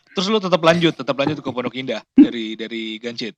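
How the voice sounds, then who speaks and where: A man's voice: mid-range voice, expressive, brisk, crowd noise. A man hosting a podcast interview. He chats casually, exclaims often and laughs a lot. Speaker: podcast host.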